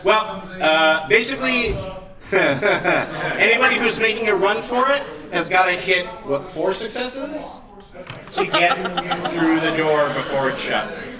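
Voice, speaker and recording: A man acting as game master, narrating and making rulings in a halting way at a live show. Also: high-pitched, lively intonation. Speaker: game master of a tabletop RPG. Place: live event hall. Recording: live convention stage with loud crowd. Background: loud crowd.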